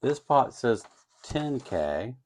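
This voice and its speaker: A man's voice: medium pitch, very animated, slow, darkish tone. A man narrating hands-on work casually and without a script, musing and hesitating as he thinks aloud. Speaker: host of an electronics kit-building channel. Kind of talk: casual, unscripted workbench narration.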